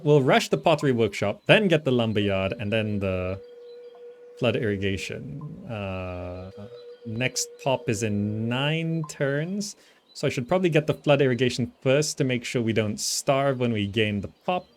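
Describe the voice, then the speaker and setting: A man in an ordinary mid-pitched voice talks through a strategy game as he plays. He muses aloud and circles back over his own reasoning, casual throughout, with game music playing behind him. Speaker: gamer, channel host. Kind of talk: casual gameplay commentary, thinking aloud.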